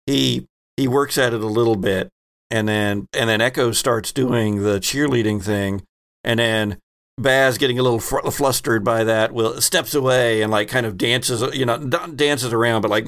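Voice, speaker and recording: A man acting as game master, narrating a tabletop role-playing scene in a halting, deliberate way. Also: medium pitch.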